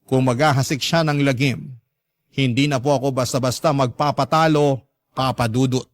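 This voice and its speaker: A man's voice: medium pitch, steady tone, normal speed, no echo, clean studio sound. A man with a Filipino accent reading a first-person story as scripted dramatic narration, like a radio storyteller.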